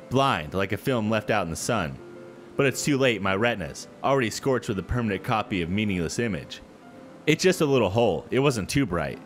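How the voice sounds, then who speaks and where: A man hosting a gaming video, reading a visual novel aloud with casual, expressive commentary. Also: on the low side. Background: soft background music.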